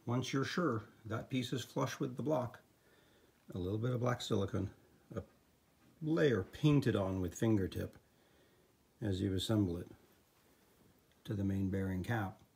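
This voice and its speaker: A man's voice: fairly low pitch, a little slow, gravelly, long pauses, a little mellow. Older man explaining engine work. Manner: practical and instructive, with asides. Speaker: engine builder. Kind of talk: hands-on workshop demonstration. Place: home garage workshop.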